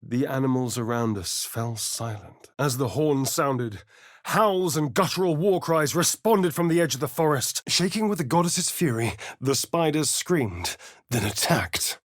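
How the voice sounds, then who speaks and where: An actor playing a suave, sardonic vampire rogue in a video game, speaking in an arch, drawling, theatrical manner. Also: male voice, mid-range voice, animated, measured pace, dry recording, clean studio sound, lightly acted. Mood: dramatic. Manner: theatrical, arch, drawling, with dramatic swells and hushes. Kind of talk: video game character voice lines.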